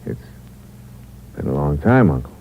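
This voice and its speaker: An actor playing a cold, hard-boiled impostor who narrates the story in a terse, clipped manner, in a 1950s radio drama. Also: male voice, a bass voice, very animated, moderate pace. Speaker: narrator and lead. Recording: muffled old radio recording with hiss.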